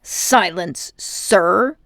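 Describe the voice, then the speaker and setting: A haughty older gentleman magician, acted out by a woman reader, vain and cruel, speaks in grandiose, indignant phrases. The storybook character sounds close and dry. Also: lowish voice, drawn-out words, no echo, full character voice. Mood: indignant, boastful.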